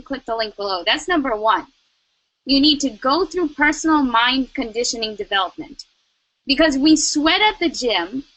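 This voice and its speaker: A young woman delivers motivational advice in a high, bright voice. She is emphatic and repeats her key lines for effect. The sound is close and dry with no room around it. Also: no echo, poor audio. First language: Russian. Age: young adult. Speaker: network marketing co-host. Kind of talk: motivational talk.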